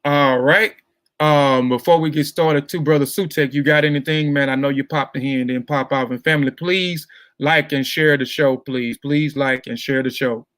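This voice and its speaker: A man hosting a livestream interview, reading measured prepared text and then talking casually to his audience. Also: medium pitch, steady tone, fairly bright tone, no room sound, studio quality. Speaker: host.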